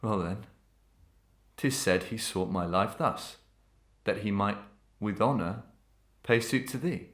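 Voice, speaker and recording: Guy doing a low voiced murderous gentleman in a victorian audio drama, cold, sardonic, formal old-time speech. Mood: sly insinuation. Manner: measured, formal, archaic, sardonic. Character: cold, taunting murderer and husband, a gentleman villain. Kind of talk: Victorian melodrama audio acting.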